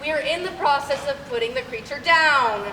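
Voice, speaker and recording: Young actress as flustered, pompous radio announcer, comic radio drama; high voice, distant mic, noisy hall. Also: female voice, normal speed, natural voice. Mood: formal, flustered. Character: flustered, apologetic radio announcer and bossy hotel manager. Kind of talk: comic old-time radio play announcing. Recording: echoey hall, far mic, clear noise.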